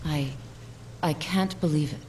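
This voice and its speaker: A woman's voice: low voice, unhurried, darkish tone, a little hiss or hum. An actress playing a lonely, smitten woman in a video game, with tender, yearning delivery. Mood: tender, emotional. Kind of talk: scripted game dialogue.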